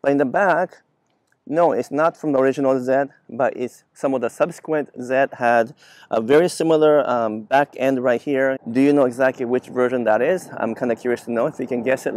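Man in his fifties, from Japan, medium pitch, enthusiastic explainer, casual car review, clip-on mic, dry sound. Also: darkish tone, no room sound. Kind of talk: car review to camera, semi-scripted casual talk. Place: outdoors on a quiet leafy residential street. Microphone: clip-on lavalier mic on the chest.

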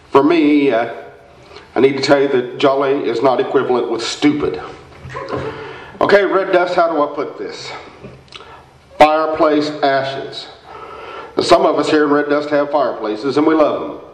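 A man playing an exasperated, higher-voiced small-town fire chief. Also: natural voice. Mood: exasperated, pleading. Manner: folksy, sarcastic, rhetorical pleading. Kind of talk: live radio-play comedy reading. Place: indoor theater stage, standing microphone, far camera. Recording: echoey theater stage, distant microphone.

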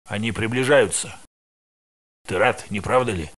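An actor voicing a tired male security guard in a research facility in a video game dub, with a gravelly, matter-of-fact delivery. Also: on the low side, measured pace, fairly bright tone. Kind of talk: game voice lines, Russian dub.

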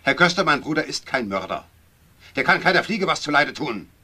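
Protective elder brother, bright, husky, animated. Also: male voice, fairly high pitch, a little fast, heavily acted. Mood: indignant. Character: protective, indignant elder brother, a company boss. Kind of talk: German crime radio drama acting.

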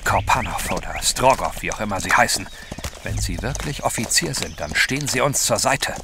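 An actor playing a composed, resolute gentleman adventurer in an audio drama, speaking in a measured, determined way. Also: on the low side, lively intonation, background noise. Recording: horse hooves clearly behind the voice.

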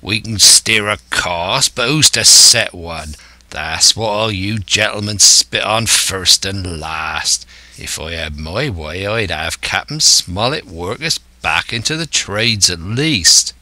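A man's voice: sits low, expressive, takes it slowly, pushed and bright, dry recording, exaggerated voice. A man voicing a scheming, domineering older pirate ringleader who speaks emphatically and scornfully in a salty seafaring dialect.

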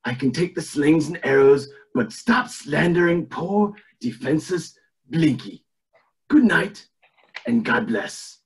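A man's voice: fairly high pitch, animated, slow. A man voicing a scheming, smug elderly tycoon in an oily, pompous, theatrical way, in an amateur cartoon table read. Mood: smarmy, oily. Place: indoors at home on a video call.

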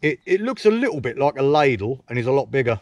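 A man's voice: mid-range voice, big pitch swings, fast. A man, chatting casually to camera at a woodland camp, teasing his mate and mocking himself. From England. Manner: cheeky, teasing banter with dry self-mockery. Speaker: camping vlogger. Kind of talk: casual outdoor chat to camera. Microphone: camera mounted close on a tripod.

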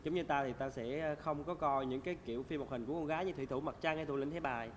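A man's voice: on the high side, fast talker, slight background noise. A man in his twenties with a Southern Vietnamese accent, hosting a talk show in casual, teasing chat. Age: 20s. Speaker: talk show host playing a shop owner. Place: large indoor studio set of an old shop. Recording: music behind the talk.

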